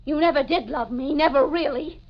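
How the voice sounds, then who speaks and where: A woman's voice: high-pitched, a little fast. An actress playing a haunted, desperate wife who confesses her murders, in intense, melodramatic 1940s radio mystery style. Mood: bitter, unhinged. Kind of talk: 1940s radio mystery acting, first-person narration. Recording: dull old 1940s radio recording with music.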